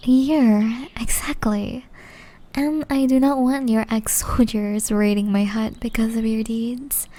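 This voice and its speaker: A woman playing a gentle, caring, centuries-old witch in an ASMR roleplay, speaking softly and breathily, often in a whisper, with tender teasing. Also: a little high, steady tone, unhurried, natural voice. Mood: firm, caring. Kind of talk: ASMR roleplay, intimate scripted acting.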